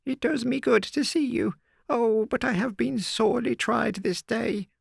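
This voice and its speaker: A man voicing a frightened elderly housekeeper woman, shrill and quavering. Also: unhurried, creaky, husky, dry recording, exaggerated voice. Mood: tearful. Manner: high quavering pleading.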